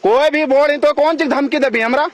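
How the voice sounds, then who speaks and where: A man with a Jharkhand accent and an extremely high voice argues heatedly on a recorded phone call. He is confrontational and repetitive, throwing out challenges, with crowd chatter clearly behind him. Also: a little fast. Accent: Jharkhand, India. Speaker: local party representative.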